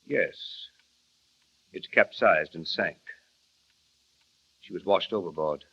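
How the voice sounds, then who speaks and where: An actor playing a reserved, kindly estate manager who answers quietly and reluctantly in a vintage radio drama. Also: male voice, low voice, takes it slowly, breathy edge, exaggerated voice. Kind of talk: 1940s radio acting. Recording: dull vintage radio recording.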